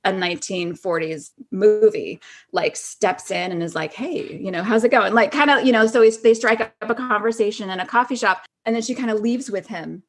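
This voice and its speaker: A woman's voice: mid-range voice, normal speed. A woman in her forties chatting casually and reminiscing as a podcast guest, informal, giggly and loosely rambling. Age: 40s.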